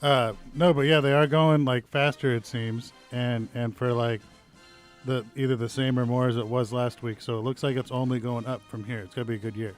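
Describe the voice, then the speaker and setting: A man's voice: mid-range voice, fairly even intonation, brisk, soft background music. An American man chatting casually as a radio co-host, easygoing and joking, feeling his way through his sentences. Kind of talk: casual chat. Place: radio studio.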